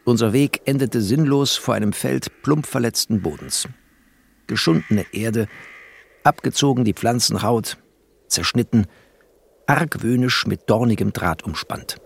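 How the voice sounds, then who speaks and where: An actor playing a bookish, sardonic refugee who narrates a literary radio play in a deliberate, biting, poetic way. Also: male voice, medium pitch, gravelly, breathy edge. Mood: brooding, poetic. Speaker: narrator and male lead.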